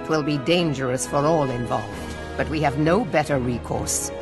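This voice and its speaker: An actress playing a stern, decisive admiral in a fantasy game, authoritative and formal with archaic phrasing. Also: female voice, a bass voice, moderate pace. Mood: commanding. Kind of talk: scripted fantasy game dialogue. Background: music.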